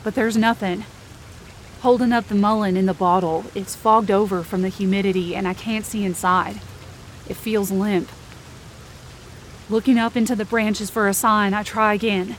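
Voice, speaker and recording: A woman's voice: medium pitch, fairly even intonation, normal speed, a little hiss or hum. A woman performing scripted first-person fiction narration as a tense, searching inner monologue.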